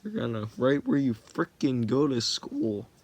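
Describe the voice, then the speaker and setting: A man's voice: medium pitch, sing-song, measured pace, a little mellow, husky, dry recording. Rambling teenage guy telling a story. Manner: rambling, self-correcting, jokey. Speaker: vlogger.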